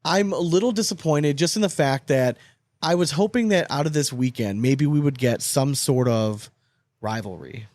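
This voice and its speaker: A man's voice: a little high, moderate pace, darkish tone, no room sound, studio quality. A man co-hosting a sports podcast in casual chat, candid and loose, swearing freely and laughing between points. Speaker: podcast co-host.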